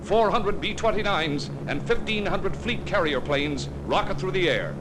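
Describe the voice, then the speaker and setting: A man narrating a 1940s newsreel in a crisp, declamatory announcer style. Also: high-pitched, lively intonation, normal speed, low-quality recording. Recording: 1940s newsreel soundtrack with music. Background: orchestral music.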